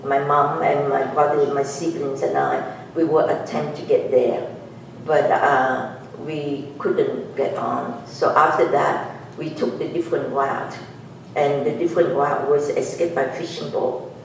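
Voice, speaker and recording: Vietnamese-accented lady in her 60s telling her story to a club, halting, lots of uh and um, far off mic in a super echoey room. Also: sits low, flat delivery, gravelly. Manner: halting and earnest, frequent fillers and restarts. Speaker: guest speaker and author. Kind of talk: personal testimony talk to a club audience. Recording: echoey room, distant mic, faint noise.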